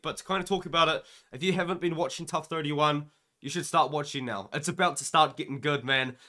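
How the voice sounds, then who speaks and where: A young man vlogs from a room into a webcam, sick and losing his voice. His voice sits a little high and sounds bright and forceful. He rambles eagerly, dropping "man" and "dude" everywhere. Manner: excited, rambling, says "man" and "dude" a lot. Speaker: MMA YouTuber. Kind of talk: casual reaction vlog.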